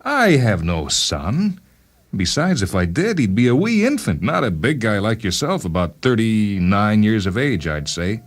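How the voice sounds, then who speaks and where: A man's voice: on the low side, big pitch swings, a little slow, voice pushed up. A man voicing an indignant, incredulous, dismissive young father in a dramatized short story reading. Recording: music bed behind the voice.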